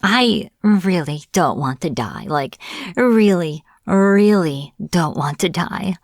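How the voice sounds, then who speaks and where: Slow, low-voiced sardonic witch acted by a woman. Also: lively intonation, dry recording, studio quality. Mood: pleading. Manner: sarcastic, exasperated, informal. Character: captured sardonic witch, irritable, bargaining and defiant. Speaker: audio roleplay voice actor. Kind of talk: dramatic fantasy audio roleplay, addressed to the listener.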